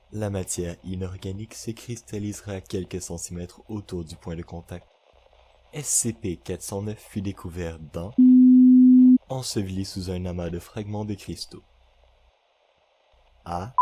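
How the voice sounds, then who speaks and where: A man reading a clinical report as scripted horror narration, in a formal, measured way. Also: low voice, a little hiss or hum.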